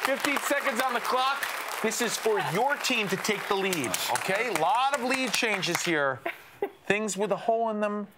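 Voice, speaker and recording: High, lively game show host man. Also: over a noisy crowd, heavy background noise. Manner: brisk and teasing, counting down clues. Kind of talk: upbeat game show hosting. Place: TV studio set.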